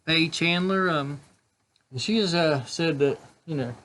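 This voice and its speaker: A man with a slightly raspy voice with a country vibe, chatting casually and musing with many fillers while reviewing cigars. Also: on the high side, a little slow, a bit bright. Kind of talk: casual chat.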